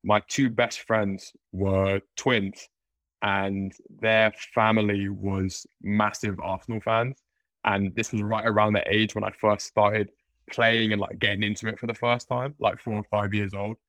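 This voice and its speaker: London man, low voice, hesitant chat. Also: level tone, a little slow, a bit bright, dry recording. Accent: London English. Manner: hesitant, many restarts and "like"s. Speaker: podcast guest. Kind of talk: casual interview chat.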